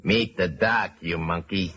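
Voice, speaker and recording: Commanding hoodlum leader played by a man, low and husky, slangy, sneering, vintage radio style. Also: measured pace, pushed and bright, exaggerated voice. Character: tough, sneering gangster boss, slangy and commanding. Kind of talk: 1940s radio acting. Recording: muffled old radio recording.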